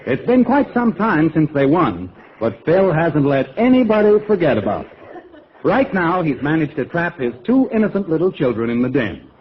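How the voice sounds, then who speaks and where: A man's voice: fairly high pitch, big pitch swings, normal speed, a little hiss or hum. A man announcing and narrating a scripted introduction to a 1940s radio show. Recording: muffled old radio broadcast, music behind.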